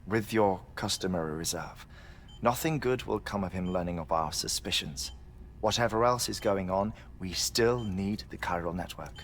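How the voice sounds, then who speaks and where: An actor playing a cerebral, measured, eccentric male scientist in a video game, speaking precisely and formally. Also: sits low, a little hiss or hum. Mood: pensive, guarded. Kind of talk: video game cutscene acting.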